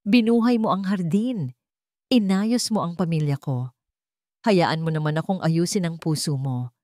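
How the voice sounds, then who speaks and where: A woman's voice: lowish voice, measured pace, mellow, dry recording, studio quality, lightly acted. Woman voicing an earnest, tender man in love. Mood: earnest, pleading. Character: remorseful grown man, earnest, tender widowed father in love. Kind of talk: audio storybook romance acting.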